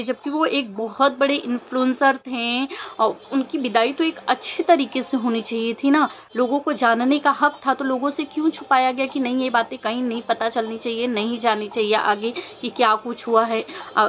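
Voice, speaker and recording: A woman vlogger giving informal opinion commentary, questioning insistently and repeating her points for emphasis. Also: high voice. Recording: dull lo-fi audio with faint noise.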